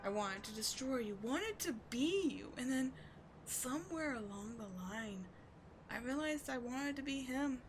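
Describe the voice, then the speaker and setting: A woman's voice: sits high, expressive, measured pace, over music. A woman playing a bitter, wounded villain who secretly cares, sardonic and exasperated, in a dramatic ASMR audio roleplay. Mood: anguished, confessional. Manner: sardonic, exasperated, emotionally intense. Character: bitter, wounded female villain secretly caring for rival sidekick. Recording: clearly audible background noise.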